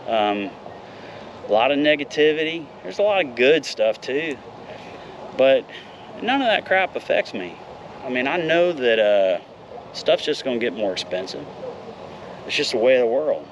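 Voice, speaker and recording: A man's voice: medium pitch, expressive, moderate pace, long pauses, dull audio, a little hiss or hum. A man in his fifties talking in a casual, rambling vlog, candid and a little profane. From United States. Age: 50s. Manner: candid, rambling, mild swearing. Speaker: vlogger.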